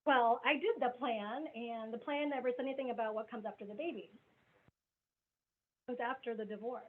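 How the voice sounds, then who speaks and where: A woman's voice: a little high, normal speed. Woman speaking over a tinny call line. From grew up in the US Midwest. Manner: candid, conversational storytelling. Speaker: business coach presenting. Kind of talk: webinar self-introduction. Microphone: video call. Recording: tinny phone-like video call line with faint noise.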